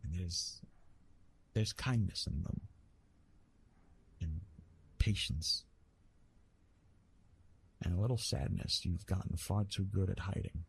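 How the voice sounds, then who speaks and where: A man playing a retired god of love in an intimate ASMR roleplay, tender and teasing, speaking softly with a husky, breathy voice and halting, reassuring delivery. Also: deep voice, heavily acted. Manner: gentle, reassuring, halting with pauses and self-corrections.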